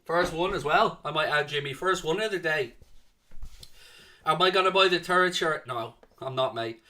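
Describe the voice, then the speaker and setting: South dublin guy hosting a live footy stream, bright slightly high voice, jokey, calls everyone mate. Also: moderate pace. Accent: South Dublin Irish. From Ireland. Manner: chatty, jokey, answers chat with "mate" and "man". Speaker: host of a football watchalong stream. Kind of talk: casual live-stream chat reading viewer comments.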